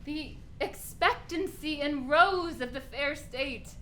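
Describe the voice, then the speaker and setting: A woman playing a gentle, heartbroken young noblewoman, lamenting in verse in a Shakespearean radio play. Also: high-pitched, slight background noise, heavily acted. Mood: grieving. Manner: slow, lamenting verse delivery.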